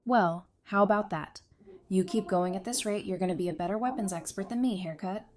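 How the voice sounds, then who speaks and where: A woman's voice: medium pitch, a little fast, darkish tone, lightly acted. A woman as a playful, teasing young survivor. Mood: teasing, supportive.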